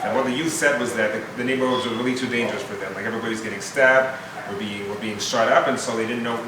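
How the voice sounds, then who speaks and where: A man born in Haiti and raised in Montreal, speaking earnestly to a youth audience as a foundation founder, often restarting his phrases. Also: medium pitch. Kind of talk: informal speech to a live audience. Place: event room with an audience. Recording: echoey room, distant mic, noisy.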